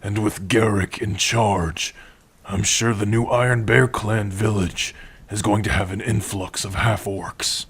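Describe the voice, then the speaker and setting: Orc blacksmith played by a man for ASMR roleplay: tender and proud, lowish pitch, very breathy rasp, intimate delivery. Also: fairly even intonation, a bit bright. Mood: fond, reminiscing. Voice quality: husky, breathy. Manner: slow, tender, measured delivery with long pauses. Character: gentle, proud male orc warrior-blacksmith, loving partner. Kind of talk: ASMR roleplay, intimate second-person acting.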